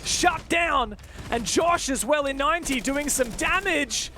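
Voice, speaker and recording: A man doing live esports play-by-play commentary, getting excitable on big plays. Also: very high-pitched, unhurried.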